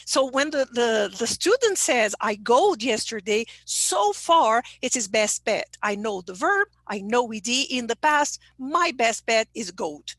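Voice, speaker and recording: A woman's voice: fairly high pitch, big pitch swings, measured pace, few pauses, a bit bright, no room sound. A woman giving a webinar lecture, explaining step by step and checking in with "okay?" and "right?". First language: Portuguese. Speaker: teacher trainer giving a webinar.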